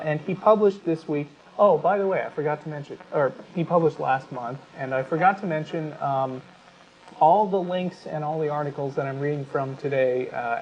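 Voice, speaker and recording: Man, medium pitch, talk show host, conversational commentary, halting delivery, muffled lo-fi recording, faint background noise.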